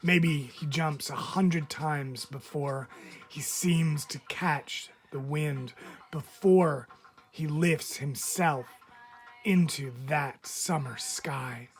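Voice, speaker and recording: A man reading a picture book aloud as a teacher would, expressively, with deliberate dramatic phrasing and frequent pauses. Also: fairly high pitch. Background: background music.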